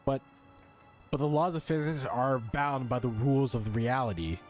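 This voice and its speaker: A man streaming his reactions to a game in a joking, slangy way. His pitch sits in the middle, and game music plays faintly behind him. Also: moderate pace, darkish tone. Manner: slangy, joking, reads on-screen text aloud. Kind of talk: casual gaming commentary.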